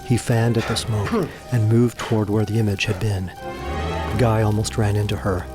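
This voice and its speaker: A man's voice: fairly low pitch, level tone, normal speed. A man narrating a scripted audio drama in a theatrical, suspenseful storytelling manner. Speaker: narrator and voice actor. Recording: music behind the voice.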